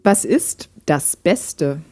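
A woman's voice: sits low, animated, extremely slow, warm tone, faint music behind, exaggerated voice. A woman playing a lonely, reserved hobby programmer in an audio drama, speaking in a dry, direct way. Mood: teasing, earnest. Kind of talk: amateur audio drama dialogue, read chat.

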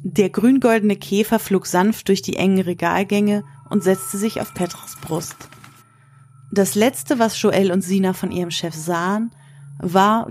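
A woman's voice: medium pitch, a little mellow, slight background noise. A woman narrator delivering scripted prose for an audio drama.

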